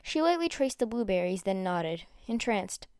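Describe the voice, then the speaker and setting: A woman narrating a fan fiction story aloud with expressive delivery. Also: mid-range voice, moderate pace, smooth, no room sound, clean studio sound.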